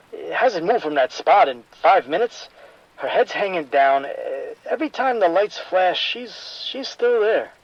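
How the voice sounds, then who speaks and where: A panicked trapped club DJ. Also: male voice, high-pitched, dry recording, highs cut off. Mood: nervous. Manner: stammering, panicked. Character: terrified nightclub DJ trapped in his booth.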